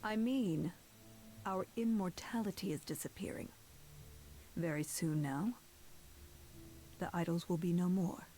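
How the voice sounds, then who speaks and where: A woman's voice: lowish voice, warm tone, slight background noise, close to an everyday voice. Woman acting a solemn, stern goddess in command. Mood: solemn, urgent. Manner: measured, formal, deliberate. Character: solemn, stern, weary goddess who leads the gods. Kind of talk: video game voice acting.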